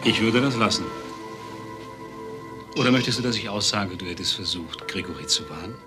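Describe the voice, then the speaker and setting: An actor playing a cold, domineering doctor, curt and menacing, in a German crime radio drama. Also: male voice, on the low side, expressive, normal speed, music in the background, lightly acted. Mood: cold menace. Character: cold, domineering male doctor, threatening husband.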